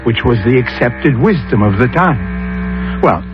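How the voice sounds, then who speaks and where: A man hosting a 1970s radio mystery show, delivering theatrical, measured scripted narration with rhetorical flourishes. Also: medium pitch, sing-song. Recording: dull old radio recording with music. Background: music.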